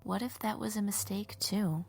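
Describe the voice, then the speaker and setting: A woman playing a devout, defiant young warrior in a fantasy audio drama, speaking earnestly and with deliberate conviction. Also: medium pitch, fairly even intonation, creaky. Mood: troubled.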